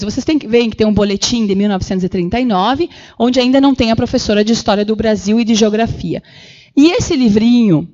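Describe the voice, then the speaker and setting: A woman giving an animated public lecture with slides in a council chamber, acting out the voices she quotes. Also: on the high side, dull audio. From Brazil. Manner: animated, acts out quoted voices, many fillers. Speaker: guest lecturer.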